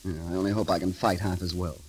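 An actor playing a witty, bold and romantic grand constable in an eloquent, theatrical 1930s radio style. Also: male voice, low-pitched, fairly even intonation, fast talker, darkish tone, slightly rough, rough recording. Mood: tender, teasing.

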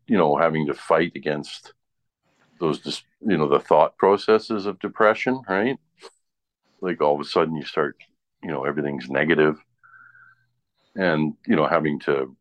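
Deep-voiced man chatting casually. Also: dry recording. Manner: rambling, often tags sentences with "right?". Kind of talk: casual chat, personal anecdotes.

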